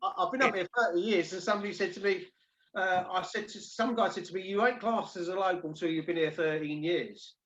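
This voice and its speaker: A man's voice: high voice, brisk, a bit bright, echoey room, lo-fi sound, a little hiss or hum. A man hosting a livestream, joking and swearing his way through stories on a group video call. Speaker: livestream host.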